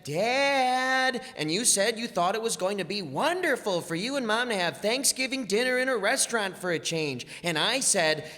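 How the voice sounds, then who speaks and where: An actor playing a pleading teenage boy in an old-time radio play recorded on a reverberant stage. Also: medium pitch. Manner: pleading, talks fast when excited. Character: eager teenage boy, football player.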